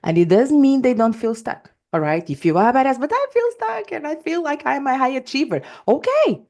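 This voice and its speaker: A woman's voice: high-pitched, very animated, brisk, a little mellow. A woman from Portugal with a Portuguese accent, a coach hosting her own podcast, talking emphatically with many fillers and restarts. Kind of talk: solo podcast talk.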